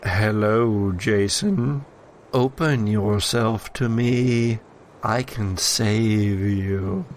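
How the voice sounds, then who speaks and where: A man voicing a sinister, seductive otherworldly entity in a slow, coaxing, ominous manner for a tabletop horror game. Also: on the low side, slight background noise, pitched below the performer's own voice. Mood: coaxing menace.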